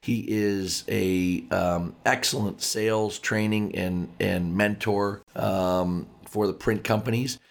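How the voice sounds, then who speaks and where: Podcast host guy, voice shot and raspy, low and gravelly, lots of ums and repeats. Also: steady tone, slow, hardly any pauses. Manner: chatty, repeats words, many fillers. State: hoarse from overuse. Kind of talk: casual podcast intro.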